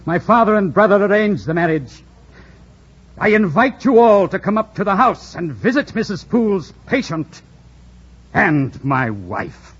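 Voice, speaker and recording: An actor playing a proud, bitter, commanding gentleman in anguish, imperious and theatrical in an old radio drama style. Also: high-pitched, big pitch swings, measured pace. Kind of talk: 1940s-50s radio drama acting. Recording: dull, noisy old radio broadcast recording.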